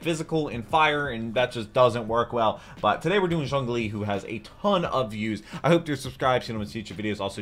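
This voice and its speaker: A man's voice: mid-range voice, a bit bright, a little hiss or hum. A young man hosting a reaction video with informal, rambling, enthusiastic commentary. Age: young adult. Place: small room at home, indoors.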